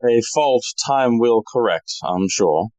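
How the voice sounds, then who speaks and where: Polite earnest young guy voiced by a woman, really low voice, dry sound, audio drama. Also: lively intonation, takes it slowly, mellow, no room sound, full character voice. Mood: contrite. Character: earnest, polite young man caught trespassing. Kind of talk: audio play acting.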